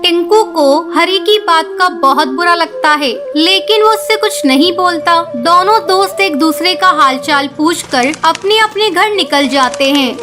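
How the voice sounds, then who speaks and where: A woman reading scripted narration for a cartoon story. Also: very high-pitched.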